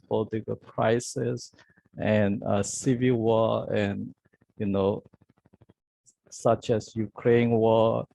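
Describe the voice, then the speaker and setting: A man hosting a podcast interview, speaking halting non-native English with repetitive phrasing. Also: lowish voice, vocal fry, dark tone, poor audio. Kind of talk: podcast interview on world crises.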